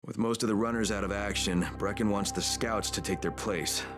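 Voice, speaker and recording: Low, firm male survivor voiced by an actor. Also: fairly even intonation, brisk, over music, natural voice. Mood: wry, flat. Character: tough young male survivor, gruff and insistent. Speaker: video game protagonist. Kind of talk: video game cutscene dialogue.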